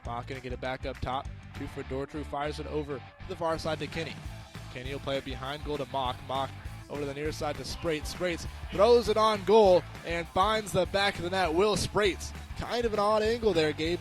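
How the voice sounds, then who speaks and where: A young American man calling live sports play-by-play for radio in an excited, emphatic manner. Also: a little high, a little fast, music in the background. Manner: excited, emphatic, rising to shouts on big plays.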